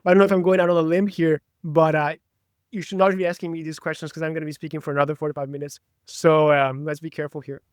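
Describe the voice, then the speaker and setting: A man's voice: on the high side, level tone, quick pace, smooth, no echo, studio quality. A man from Brazil whose first language is Portuguese, talking eagerly as a podcast guest in a casual interview. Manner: enthusiastic, talkative.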